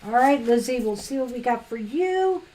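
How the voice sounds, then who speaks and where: A woman co-hosting a live-stream tarot reading, chatty and fast-talking, laughing often. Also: a little high, animated, dark tone, slight background noise. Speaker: tarot reader co-host.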